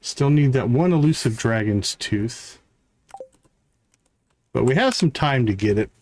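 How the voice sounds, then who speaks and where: Male game streamer, medium-pitched and unhurried, casual self-talk with animated swings in pitch, music behind. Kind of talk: casual live gameplay commentary.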